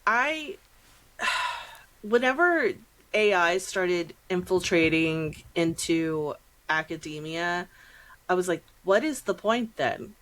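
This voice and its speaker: A woman's voice: on the low side, lively intonation, takes it slowly, fairly bright tone, poor audio. A middle-aged American woman chatting casually as a podcast host, with slangy asides and acted-out reactions.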